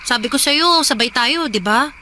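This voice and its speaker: An actress playing a dutiful, respectful grown child in a Filipino radio drama, polite and soft-spoken. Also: female voice, sits high, expressive, normal speed, a little hiss or hum. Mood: apologetic. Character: dutiful, respectful, concerned child talking to mother. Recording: music behind.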